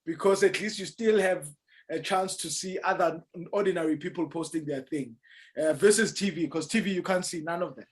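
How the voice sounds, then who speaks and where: Man, podcast host, casual, high voice, animated. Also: fairly quick. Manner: animated, laughs and says "you know" often. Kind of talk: casual interview chat.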